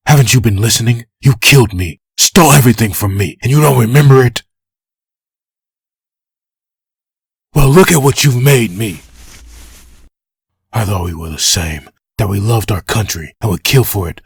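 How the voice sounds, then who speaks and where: A man playing a vengeful undead antihero in a comic dub, raspy, bitter and accusing. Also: mid-range voice, lively intonation, moderate pace, dry recording, clean studio sound. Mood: furious. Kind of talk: fan comic dub acting.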